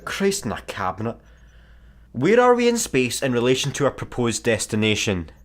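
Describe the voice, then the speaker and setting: An actor with a Scottish accent playing a grumpy, sarcastic space busker in a radio sitcom. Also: male voice, mid-range voice, normal speed. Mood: irritable. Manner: irritable, deadpan sarcasm.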